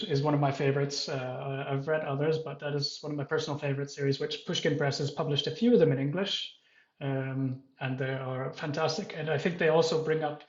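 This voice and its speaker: A man moderating a panel, introducing the guests in a halting, self-correcting and apologetic way. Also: fairly high pitch, echoey room, highs cut off.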